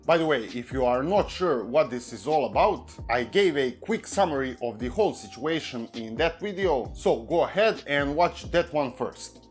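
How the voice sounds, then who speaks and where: A man with a Serbian accent, a native Serbian speaker, delivering scripted commentary in a measured, even way as he reads from a prepared text. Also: mid-range voice, soft background music. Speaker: YouTube commentary host. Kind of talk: scripted commentary read aloud.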